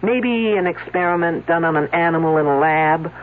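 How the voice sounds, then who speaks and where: An actress playing a sharp detective who questions a witness in a dry, pointed way, in a radio drama. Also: female voice, fairly low pitch, moderate pace, close to an everyday voice. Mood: probing. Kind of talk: 1970s radio drama acting. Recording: tinny old radio broadcast.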